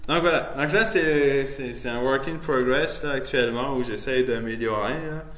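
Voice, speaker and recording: A man, a non-native French speaker, giving a loose, chatty software demo full of fillers and restarts. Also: mid-range voice, moderate pace. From immigrant to Canada. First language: not French. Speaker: presenter showing his editor setup. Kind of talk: casual live software demo. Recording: echoey room, faint noise, keyboard typing.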